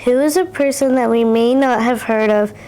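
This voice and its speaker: A fourth-grade girl hosts a school interview. Her voice sits fairly high. She reads her scripted introduction and questions carefully. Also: moderate pace, warm tone. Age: child, fourth grader. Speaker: student interviewer. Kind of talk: reading prepared questions.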